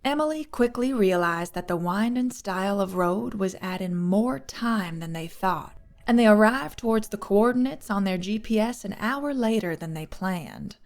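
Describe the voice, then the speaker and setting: A woman's voice: medium pitch, animated, normal speed. A woman reading scripted third-person fiction as an audiobook narrator.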